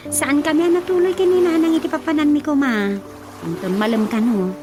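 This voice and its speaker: A woman acting a lively, teasing village woman in an Ilocano comedy radio drama. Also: high voice, fairly quick, over music, natural voice.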